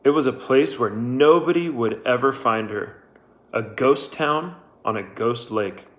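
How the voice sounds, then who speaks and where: A man's voice: mid-range voice, measured pace. A man reading a novel aloud as its narrator. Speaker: audiobook-style narrator.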